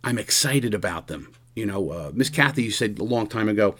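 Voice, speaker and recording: A man in his sixties hosting a radio show, musing aloud in an earnest, halting way with many restarts. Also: on the low side, lively intonation, fairly bright tone. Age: 60s. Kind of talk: reflective casual radio monologue.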